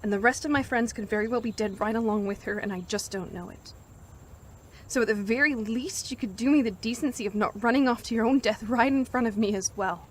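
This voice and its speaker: A woman's voice: a little high, fairly quick, slight background noise, close to an everyday voice. A woman playing a firm, exasperated young survivor in grief, speaking urgently and with authority in an audio drama. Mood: firm, grieving. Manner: urgent, commanding, emotional. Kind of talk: audio drama acting, anime fan parody.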